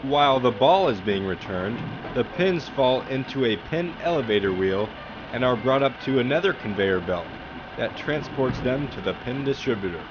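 Male narrator, mid pitch, steady explainer read; muffled lo-fi track with audible hiss behind. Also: normal speed. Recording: dull lo-fi sound with clear background noise.

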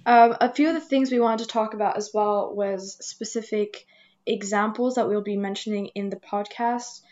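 A woman's voice: mid-range voice, steady tone, moderate pace, a little mellow, a little hiss or hum. A young woman of about twenty from Hong Kong, co-hosting a podcast in a casual, chatty style. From Hong Kong, French-speaking household. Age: around 20. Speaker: podcast co-host. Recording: slightly dull, muffled microphone sound.

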